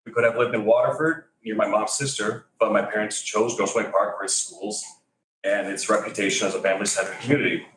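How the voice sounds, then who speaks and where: Firm resident speaking low in echoey chamber. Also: male voice, fairly even intonation, a little fast, fairly bright tone. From Greece. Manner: wry, firm, reads from notes. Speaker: resident giving public comment. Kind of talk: prepared public comment. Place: council chamber. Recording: echoey chamber, distant mic.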